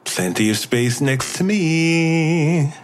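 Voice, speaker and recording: A man playing an injured, tender boyfriend in an audio drama, earnest and a little halting. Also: on the high side, lively intonation. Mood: playful, affectionate.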